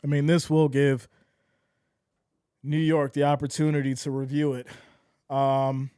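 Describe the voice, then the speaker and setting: A man doing live fan play-by-play of a football game, excitable and losing his voice, swinging from shouts to deflated mutters. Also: fairly high pitch, unhurried, clean tone, dry recording, studio quality.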